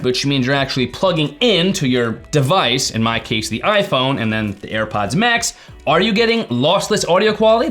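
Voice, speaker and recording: A man's voice: high-pitched, a bit bright. A man presenting a tech explainer video in a chatty, informal and emphatic way. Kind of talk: explainer vlog to camera.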